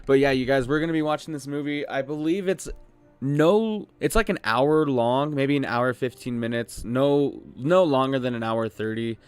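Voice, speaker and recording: A man's voice: mid-range voice, moderate pace, smooth, dry recording. Casual male streamer chatting over music. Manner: informal, rambling, appreciative of viewers. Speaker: live streamer, host. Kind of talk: casual livestream chat intro.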